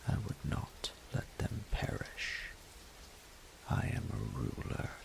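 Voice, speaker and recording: Haughty dragon monarch, romance audio drama: very low, slow, half-whispered menace. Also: male voice, exaggerated voice, rough recording. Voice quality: breathy, gravelly half-whisper. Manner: haughty, formal, deliberate. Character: proud, imperious male dragon ruler, seductively menacing. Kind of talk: scripted romantic audio roleplay.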